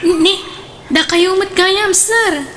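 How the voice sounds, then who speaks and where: A woman's voice: very high-pitched, extremely slow, fairly bright tone, slight background noise, exaggerated voice. An actress playing a young single mother who teases her own mother in a comedic radio drama, speaking sassily. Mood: shy. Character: young woman, single mother, sharp-tongued daughter.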